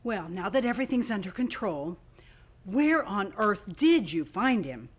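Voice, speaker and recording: A woman's voice: mid-range voice, very animated, a little slow, slightly rough. A woman playing an effusive, admiring department store publicity worker in an old-time radio play. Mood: gushing. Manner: effusive, chatty.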